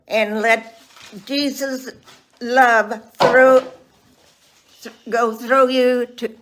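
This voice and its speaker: Slow-speaking ninety-year-old woman. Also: a little high. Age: 90s. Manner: slow, halting, warm blessings. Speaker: guest. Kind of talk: casual chat giving blessings.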